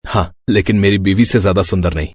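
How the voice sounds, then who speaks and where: Man acting a deep, husky young husband. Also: quick pace, darkish tone, no room sound, clean studio sound. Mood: affectionate. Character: young affectionate husband and brother, easygoing and caring.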